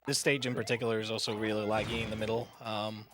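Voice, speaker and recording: A man's voice: on the low side, little pitch movement, fast talker. A man chatting casually as co-commentator during a live speedrun at a gaming marathon. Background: game music.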